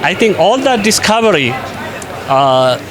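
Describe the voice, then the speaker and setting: A man from Ethiopia, an invited conference speaker, answering interview questions in a measured way with frequent pauses and fillers. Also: high-pitched, big pitch swings, crowd noise. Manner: measured, with many hesitations and fillers.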